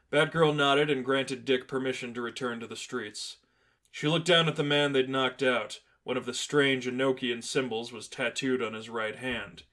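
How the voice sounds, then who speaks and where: A man's voice: medium pitch, a bit bright. A man reading a scripted piece of fan fiction as a storyteller.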